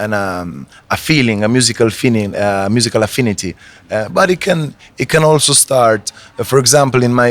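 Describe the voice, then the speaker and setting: A man whose first language is Italian, a reggae artist answering interview questions in a hesitant way, with many restarts. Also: fairly low pitch.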